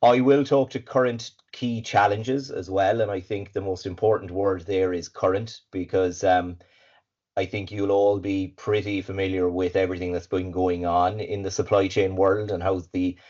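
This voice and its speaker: Irish male presenter, low raspy voice, hay-fever congestion, upbeat and fluent, muffled call audio. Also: little pitch movement, normal speed, darkish tone. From Ireland. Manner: upbeat, fluent, with filler words and repeats. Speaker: guest speaker, supply chain consultant. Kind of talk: webinar presentation. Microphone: video call. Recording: dull lo-fi video call audio.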